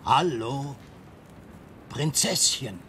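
An actor playing a gentle, protective fairy-tale helper in a radio play, deliberate and fond. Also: male voice, lively intonation, full character voice. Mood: urgent. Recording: rumbling background noise.

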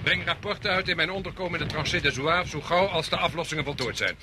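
A man playing a brisk, exacting army major in a radio drama, giving clipped, authoritative orders. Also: fairly high pitch, close to an everyday voice. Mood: brisk, commanding. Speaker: actor in a radio play. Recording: very noisy old radio recording.

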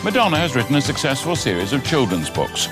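Lively male comedy show host. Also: medium pitch, fast talker. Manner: dry, punchline-driven delivery. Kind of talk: scripted comic presenting. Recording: studio with loud crowd and music.

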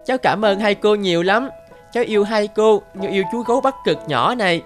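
A man's voice: high-pitched, fairly quick, soft background music, lightly acted. A man voicing an earnest, polite, affectionate little boy in a cartoon. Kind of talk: cartoon dub acting.

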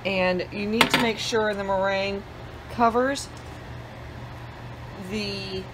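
A woman's voice: medium pitch, fairly even intonation, takes it slowly, heavy background noise. A middle-aged woman giving plain step-by-step cooking instructions in her home kitchen, with some fillers, picked up by a camera mic a few feet away. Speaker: cooking channel host.

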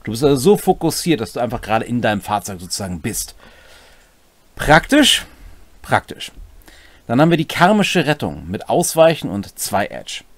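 Middle-aged man, lively intonation. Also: medium pitch, normal speed, no room sound. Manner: playful, wry asides. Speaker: co-host explaining game rules. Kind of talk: casual explanation of tabletop game rules. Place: small indoor home room.

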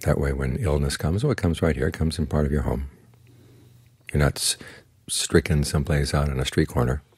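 An older man, a Buddhist monk, giving a slow, calm, plainspoken guided meditation talk. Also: very deep voice, slightly rough, no echo. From United States.

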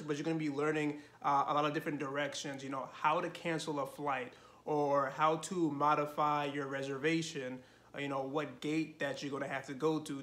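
A man in his thirties hosting a language-learning channel, giving casual tips to camera from his living room couch, animated and full of "you know" fillers. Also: on the high side. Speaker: Spanish learning channel host.

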